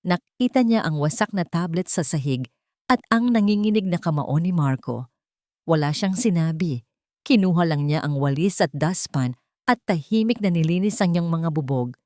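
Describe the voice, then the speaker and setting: A woman's voice: fairly low pitch, lively intonation, moderate pace, warm tone, no echo, studio quality. A woman narrating a dramatic romantic audio story.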